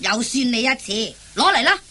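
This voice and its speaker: An actress playing a fierce, big, domineering Cantonese wife in a radio comedy play, scolding and demanding. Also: female voice, fairly high pitch, very animated, normal speed, bright, forceful tone, full character voice. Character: fierce, bossy, big fat nagging wife.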